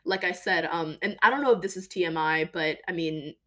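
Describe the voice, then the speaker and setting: A young woman hosting a podcast, talking casually and emphatically about her own life, with a voice she calls deeper than most women's. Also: fairly quick, pushed and bright. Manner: chatty, emphatic, lots of fillers. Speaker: podcast host. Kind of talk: casual personal monologue.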